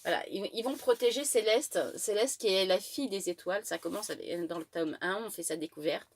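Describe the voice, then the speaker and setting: A woman's voice: medium pitch, fairly quick. Woman with a head cold, hesitant. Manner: hesitant, lots of fillers and restarts. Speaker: book vlogger. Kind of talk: casual chatty book haul.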